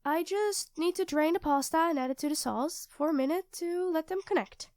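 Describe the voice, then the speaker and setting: A woman's voice: very high voice, normal speed, darkish tone, no room sound, studio quality. A young woman playing an affectionate, easily flustered girlfriend in an ASMR roleplay, speaking expressively and intimately to her partner. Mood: cheerful, domestic. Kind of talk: ASMR roleplay acting addressed to the listener.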